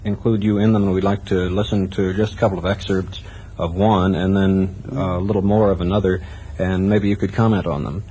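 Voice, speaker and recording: A man's voice: deep voice, little pitch movement, brisk, dark tone. An American man interviewing a guest for radio in a conversational style. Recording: noisy 1970s radio tape.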